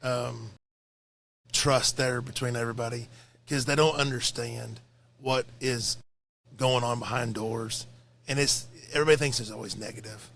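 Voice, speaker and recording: A man's voice: medium pitch, steady tone, normal speed, vocal fry, a bit bright. A tired man with a slightly crackly voice, speaking earnestly and reflectively as a guest in a casual podcast conversation. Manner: earnest, reflective, repeats phrases.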